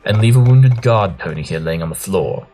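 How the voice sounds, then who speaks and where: A man's voice: deep voice, lively intonation, moderate pace, dark tone, faint music behind. A man voicing a brilliant, smug pony detective in an audio drama, pompous and self-assured as he explains. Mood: brisk, commanding.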